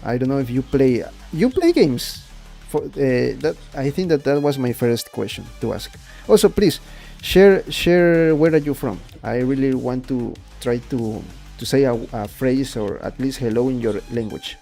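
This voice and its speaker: A man's voice: medium pitch, lively intonation, unhurried, vocal fry, dark tone, faint music behind. A young man from Ecuador with a Spanish accent, rambling and repeating words as he explains his 3D art on a casual livestream. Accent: Spanish-accented English. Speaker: 3D artist streaming his work.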